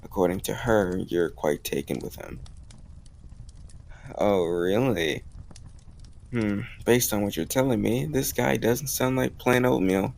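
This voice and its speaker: A man acting as a smitten college guy with a low voice and plenty of gravelly fry. He talks in a teasing, confident, intimate way, as in a romantic audio roleplay. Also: a little slow, pauses often, a little mellow. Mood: playful, teasing. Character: bold, teasing young male college student, smitten romantic.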